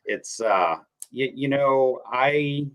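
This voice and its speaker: A man, a podcast guest chatting casually, animated and rambling, laughing often. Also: mid-range voice, drawn-out words, clean tone. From New Hampshire. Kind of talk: casual sports chat.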